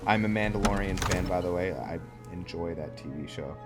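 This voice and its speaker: A man's voice: sits low, brisk. A man giving casual, hesitant gameplay commentary, thinking aloud as he goes. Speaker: gaming video host. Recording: background music under close commentary.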